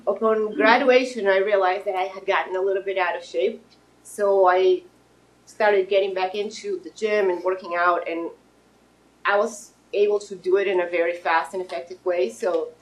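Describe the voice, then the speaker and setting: A woman's voice: mid-range voice, fairly even intonation, moderate pace, poor audio. Friendly woman presenting her studio. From Russia. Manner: friendly, informal, with restarts and fillers. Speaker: business owner presenting her fitness studio. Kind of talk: pitch presentation to a live audience.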